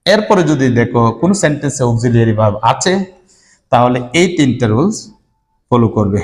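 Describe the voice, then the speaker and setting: Man, grammar teacher, from Bangladesh, brisk, talks to students, repeats rules. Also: mid-range voice. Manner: addresses students directly, repeats rules step by step. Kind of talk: classroom grammar lesson to students.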